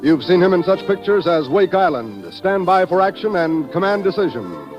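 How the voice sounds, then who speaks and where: A man's voice: high voice, very animated, normal speed, highs cut off. A man with an American accent announcing and narrating a scripted 1940s radio drama. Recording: 1940s radio recording, loud music behind.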